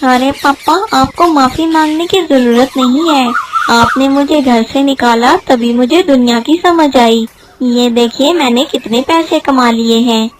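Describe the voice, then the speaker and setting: A woman's voice: sits high, measured pace, noisy background. A woman voicing a naive, good-natured young male vegetable hawker who talks earnestly and simply in a cartoon story. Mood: forgiving, proud.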